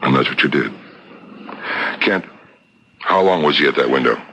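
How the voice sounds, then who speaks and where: A relentless, methodical police detective in a 1970s radio mystery. His voice is very deep and forceful, with a husky rasp. He presses each accusation insistently, heard through a dull old broadcast recording. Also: lively intonation, moderate pace, exaggerated voice. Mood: accusing. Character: shrewd, relentless male police detective laying out a murder case.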